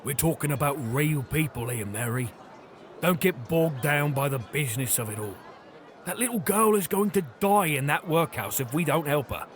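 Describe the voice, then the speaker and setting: Man acting scrappy, high-voiced reformed thief. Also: animated, normal speed, slight background noise, natural voice. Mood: earnest, urgent. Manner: earnest, informal street talk. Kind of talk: children's audio drama acting.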